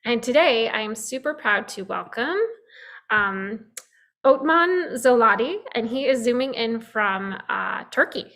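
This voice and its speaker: A woman hosts a film Q&A, speaking a little higher than most. She is friendly and asks her questions in a loose, chatty way, often pausing with an um. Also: animated, bright, forceful tone. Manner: friendly, with frequent fillers. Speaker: festival Q&A host. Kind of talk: moderating a film Q&A.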